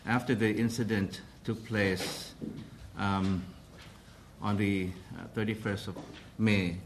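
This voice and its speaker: Man, low voice, formal press briefing, halting delivery with fillers; slight room noise. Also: fairly even intonation. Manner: hesitant, formal, many pauses and restarts. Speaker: council president briefing the press.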